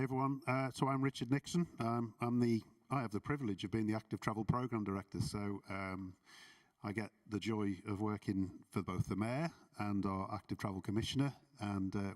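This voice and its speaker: A middle-aged man from Liverpool speaks with a Scouse accent and a slightly low voice. He talks earnestly, with plenty of filler words. He is caught by a distant mic in a conference hall. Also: a little mellow. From Liverpool, England. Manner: earnest, filler-heavy, self-deprecating. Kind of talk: reflective closing remarks.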